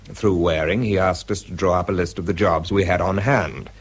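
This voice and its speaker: An actor with a British accent playing a bitter, self-pitying research scientist in a radio drama, dry and clipped. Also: male voice, deep voice, steady tone, normal speed, husky. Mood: dry, reflective. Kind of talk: British radio drama acting and first-person narration.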